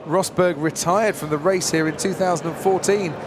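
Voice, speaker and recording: Animated male race commentator. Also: fairly high pitch, normal speed, background noise. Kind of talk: live broadcast commentary.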